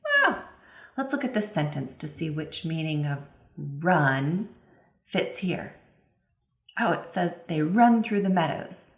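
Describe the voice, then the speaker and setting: A woman with a fairly low voice teaches in a clear, explanatory manner. She speaks expressively and with emphasis, as an instructor advising parents. The recording is roomy and echoey. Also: sing-song, takes it slowly, long pauses. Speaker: instructor, literacy expert giving advice to parents. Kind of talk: instructional talk with expressive read-aloud examples.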